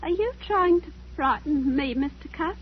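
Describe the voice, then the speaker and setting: An excitable young woman in a vintage radio thriller. Her voice is high and swings widely, plaintive and theatrical. The broadcast sounds muffled and old. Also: normal speed, a little hiss or hum. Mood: nervous disbelief. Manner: breathless, plaintive, highly expressive. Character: excitable, soft-hearted young London working-class woman. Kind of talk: 1940s radio thriller acting.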